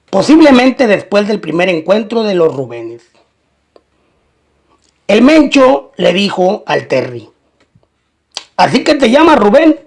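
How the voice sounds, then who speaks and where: A male narrator tells a scripted crime story in documentary style. His voice sits high for a man and has a strong gravelly fry running through it. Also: a little mellow.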